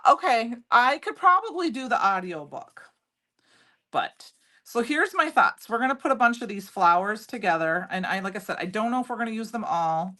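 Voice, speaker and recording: A middle-aged woman with a loud voice, hosting a live crafting demo and chatting loosely with viewers as she works. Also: fairly high pitch, big pitch swings, normal speed. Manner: chatty, rambling, answers viewer comments. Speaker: livestream craft host.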